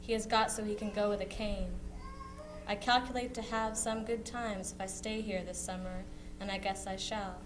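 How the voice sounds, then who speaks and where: A woman's voice: mid-range voice, fairly even intonation, moderate pace, background noise, close to an everyday voice. A woman playing a contented young nineteenth-century sister, reading her letter aloud. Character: contented young 1860s woman writing cheerfully to her brother. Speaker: amateur reader in a historical society dramatization.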